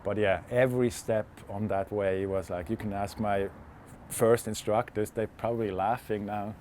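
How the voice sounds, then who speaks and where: A man's voice: on the low side, fairly even intonation, moderate pace, a little mellow, slight background noise. A man, talking casually as a guest in an interview about himself. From Switzerland. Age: 40s. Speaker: interview guest, freediving instructor.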